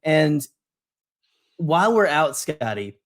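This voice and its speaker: A male podcast co-host whose voice sits a little high for a man. He chats casually and trades banter, teasing in a wry, playful way. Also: big pitch swings, takes it slowly.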